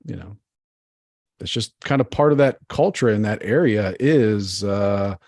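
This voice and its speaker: A man's voice: fairly low pitch, measured pace, a little mellow, no room sound, clean studio sound. A man co-hosting a podcast, rambling in casual chat full of fillers. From United States. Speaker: podcast co-host.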